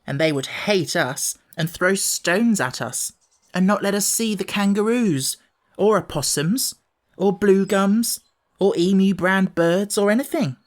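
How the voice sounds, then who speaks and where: A man's voice: high voice, a little slow, pitched above the performer's own voice. A man voicing a blunt, inquisitive young boy in a storybook reading. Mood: grumbling, excited. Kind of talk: audiobook character voice.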